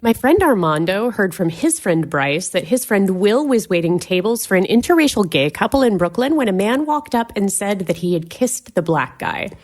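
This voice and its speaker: A woman's voice: mid-range voice, very animated, moderate pace, hardly any pauses. A woman acting a dramatic, meddling, gossipy friend in a comic audio drama. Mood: urgent gossip.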